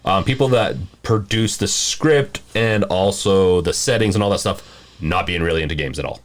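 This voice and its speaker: A man with a fairly low voice, chatting as a podcast co-host. He rambles through his opinions, thinking out loud in a loose, conversational style. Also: moderate pace. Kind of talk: casual opinionated group podcast chat.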